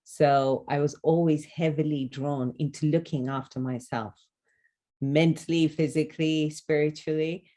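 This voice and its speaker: A woman's voice: sits low, steady tone, measured pace, warm tone. A woman in her forties from Kenya, speaking articulately and earnestly as a guest answering questions in a conversational interview. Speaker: wellness consultant and author, podcast guest.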